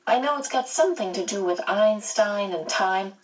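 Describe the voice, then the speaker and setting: A woman's voice: medium pitch, expressive, moderate pace, natural voice. A woman voicing an anxious, blunt teenage girl who talks earnestly and hesitantly, recorded at a distance.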